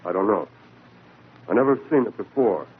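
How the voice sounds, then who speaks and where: An actor playing a sullen, slangy, tough janitor with a New York accent in 1940s radio drama style. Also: male voice, fairly low pitch, lively intonation, moderate pace, slightly rough. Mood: defiant. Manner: sullen, slangy wise guy. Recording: tinny old radio recording.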